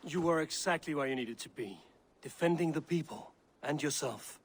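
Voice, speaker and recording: An actor playing a stern, protective Viking clan champion in a game cutscene, speaking in a measured, resolute way. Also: male voice, on the high side, breathy edge. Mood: reassuring. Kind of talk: video game cutscene acting.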